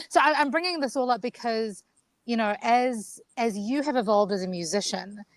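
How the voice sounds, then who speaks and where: A woman from New Zealand, a keynote speaker, telling stories in an animated, expressive way as she asks a question. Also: on the high side, moderate pace, dry recording. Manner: animated, expressive storyteller, many restarts. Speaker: guest co-host, keynote speaker. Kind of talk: conversational interview.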